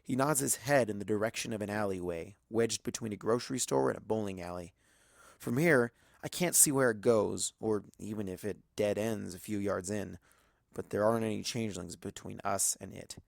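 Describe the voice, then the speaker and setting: A man's voice: on the low side, normal speed, creaky, no room sound, studio quality. A man reading a story aloud as a dramatic first-person narration.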